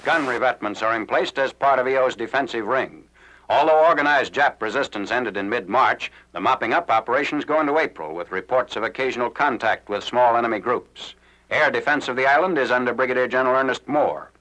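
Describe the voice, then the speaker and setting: A man's voice: mid-range voice, brisk, pushed and bright. An American man reads scripted narration as a 1940s newsreel announcer, in a formal, clipped military delivery. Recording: noisy 1940s newsreel film soundtrack.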